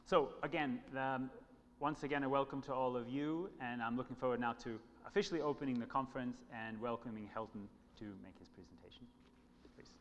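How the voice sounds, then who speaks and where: A man moderating a conference, giving opening remarks in a halting way and often repeating words. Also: medium pitch, highs cut off. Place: large conference hall. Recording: reverberant hall, faint noise.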